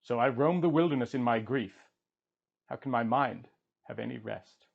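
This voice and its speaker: A man's voice: mid-range voice, normal speed, vocal fry. An anguished wandering hero-king, performed by a male reader, mourns in grand, emphatic storybook style. His weariness and grief shape the declamation, heard through a slightly muffled, lo-fi recording. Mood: anguished, frightened. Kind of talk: dramatic audiobook reading of an ancient epic.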